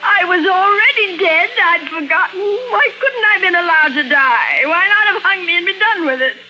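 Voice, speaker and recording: A woman's voice: very high-pitched, lively intonation, bright, forceful tone, heavily acted. An anguished, haunted woman, muffled old radio. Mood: despairing. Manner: dramatic, halting, intense delivery. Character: anguished amnesiac woman patient who believes she murdered. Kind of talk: 1950s radio drama acting. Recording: muffled vintage radio recording with music.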